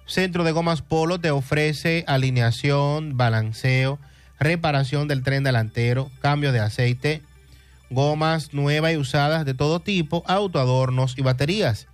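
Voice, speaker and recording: A man reads radio ads in a measured, promotional way, his voice sitting fairly high. The studio sound is dry, with faint music playing underneath. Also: no room sound. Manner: measured, clear promotional delivery. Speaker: ad announcer. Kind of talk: radio advertisement reading.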